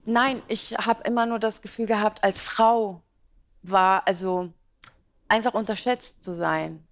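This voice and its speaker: A chatty woman in her twenties, born in Iraq with Aramaic as her mother tongue, talks about her work as a blogger. She rambles, laughs often and fills her pauses with hesitations. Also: medium pitch, fairly even intonation. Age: 20s. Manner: talkative, rambling, frequent laughter and fillers. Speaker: beauty and fashion blogger, guest. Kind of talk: interview answers.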